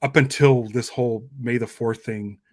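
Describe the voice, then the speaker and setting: A man's voice: mid-range voice, steady tone, moderate pace. Informal, drily joking man co-hosting a podcast. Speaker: podcast co-host. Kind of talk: casual chat.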